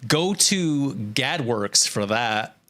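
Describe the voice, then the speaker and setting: A man streams casually in a bright, forward voice at a medium pitch. He talks off the cuff like an artist chatting while he draws, joking at his own expense and swearing freely. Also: expressive, extremely slow. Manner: self-deprecating, joking, casually profane. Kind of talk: casual livestream chat while drawing.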